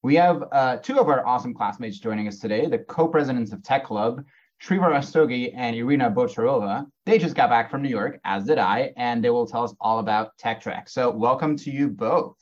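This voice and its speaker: A man's voice: mid-range voice, a little fast, dull audio. Upbeat young male podcast host. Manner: upbeat, enthusiastic announcements. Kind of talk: casual student podcast hosting.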